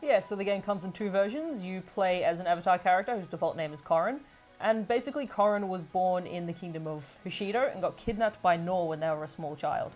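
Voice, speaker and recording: Female reviewer, brisk conversational commentary, mid-range voice, faint music bed. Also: lowish voice, a little mellow. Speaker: game reviewer on a show.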